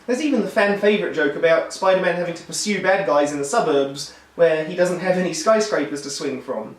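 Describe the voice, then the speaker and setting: A hoarse man who is losing his voice, giving a wry, enthusiastic scripted film review. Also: a little high, animated, a little fast. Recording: roomy echo, distant mic.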